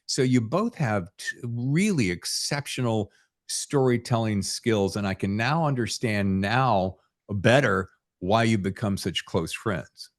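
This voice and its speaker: A man's voice: fairly low pitch, lively intonation, takes it slowly. A man hosting a podcast, chatting casually with guests in a folksy, effusive, rambling way. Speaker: podcast host interviewing authors. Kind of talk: casual interview chat.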